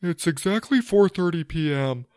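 A man's voice: fairly high pitch, lively intonation, moderate pace, a little mellow, clean tone, no room sound, clean studio sound, voice pushed up, natural voice. Man voicing a big hairy matter-of-fact sled-puller. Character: big hairy Canadian friend who pulls sleds, flatly matter-of-fact.

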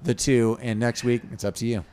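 A man co-hosting a casual movie podcast, talking quickly and jumping into loud impressions of film lines. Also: medium pitch, flat delivery. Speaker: podcast co-host.

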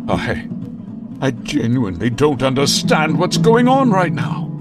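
A man's voice: medium pitch, sing-song, over loud music. A man playing a grandiose, righteous comic-book vigilante in an old-time radio drama, speaking with pompous melodrama. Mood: bewildered. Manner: pompous, melodramatic, drawn-out delivery.

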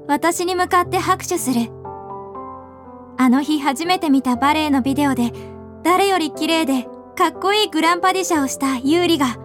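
A woman's voice: high-pitched, lightly acted. An actress playing an earnest, persistent, direct teenage schoolgirl learning ballet, in radio drama dialogue and narration. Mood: tender narration.

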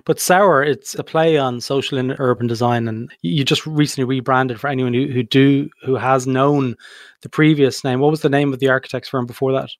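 A man hosting a design podcast, introducing the episode and interviewing his guest in a relaxed conversational way. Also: medium pitch, moderate pace, no room sound, clean studio sound. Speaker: podcast host.

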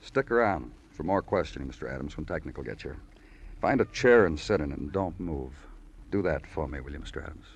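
An actor playing a world-weary, poetic police detective in an old radio crime drama, speaking in clipped, lyrical phrases. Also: male voice, a bass voice, expressive, a little fast, background noise. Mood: dry, stern. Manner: clipped, brooding, lyrical narration. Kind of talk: 1940s-50s radio noir acting. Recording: old radio recording with music.

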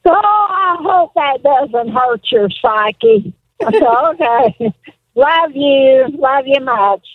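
A grandmother in her eighties leaving an affectionate voicemail, laughing softly between phrases. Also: sits high, expressive, extremely slow, pauses often, dry recording. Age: 80s. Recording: tinny phone voicemail.

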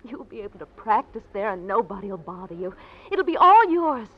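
A woman playing a devoted, anxious young wife in an old-time radio drama, with halting, emotional delivery. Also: medium pitch, sing-song. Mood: eager, loving. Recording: dull, noisy old radio recording.

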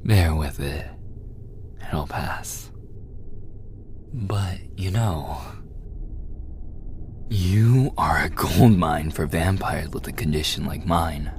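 A man performs as an obsessive, hungry vampire in a boyfriend ASMR roleplay. The voice sits very low and moves slowly, breathy and often sinking into a gloating, intimate whisper. A faint layer of ambient noise lies behind it. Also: darkish tone, heavily acted. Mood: fascinated. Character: obsessive, possessive male vampire, starved and hungry for blood.

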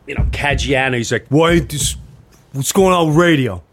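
A man hosting a podcast in casual chat, telling stories in an animated way and acting out what others said. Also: medium pitch, moderate pace, creaky. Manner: animated storyteller, acts out quoted remarks. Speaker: podcast host and comedian.

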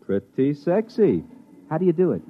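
A man's voice: on the high side, sing-song, dull audio, a little hiss or hum. A man playing a hip, laid-back American disc jockey who chats in beatnik jive in a 1950s radio drama. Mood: curious, wry. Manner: laid-back hipster, hesitant drawn-out chattiness. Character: hip, jazzy male disc jockey spinning a yarn. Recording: thin old radio recording, music behind. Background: music.

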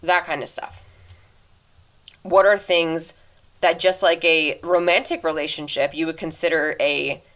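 A woman in her twenties hosting a solo podcast, talking earnestly and reflectively into her microphone, fast by habit, with a deep voice. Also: a bit bright. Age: 20s. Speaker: podcast host. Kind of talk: casual solo advice talk.

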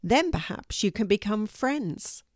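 A woman voicing a calm, kindly male donkey in a children's story, unhurried and reassuring. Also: mid-range voice, no room sound, clean studio sound, voice pushed up.

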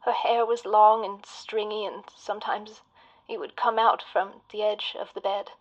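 An actress playing a haunted woman caller on a radio show, speaking in hushed, creepy tones that slip into whispers. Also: mid-range voice, level tone, moderate pace, muffled recording, lightly acted. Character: haunted woman caller, eerie and quietly unsettling. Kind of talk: audio drama acting.

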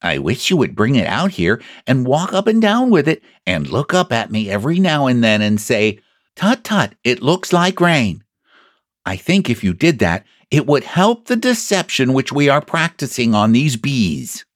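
A man's voice: mid-range voice, very animated, moderate pace, no echo, studio quality, voice pushed up, close to an everyday voice. A man voicing a small, earnest toy bear from a children's storybook, ponderous and solemn as he schemes. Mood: earnest, plotting.

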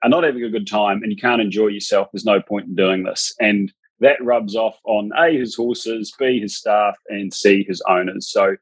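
A man in his thirties with a New Zealand accent, a guest on a podcast, talking in a relaxed, chatty way. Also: on the low side, moderate pace, few pauses, husky, no room sound. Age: 30s. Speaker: racehorse trainer guest. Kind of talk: podcast interview.

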